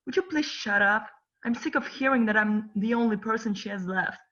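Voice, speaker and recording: A woman's voice: mid-range voice, brisk, a bit bright, natural voice. A woman reading the part of an anxious, stubborn teenage girl in an amateur script table read. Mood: exasperated. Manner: defiant, exasperated. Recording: dull lo-fi recording.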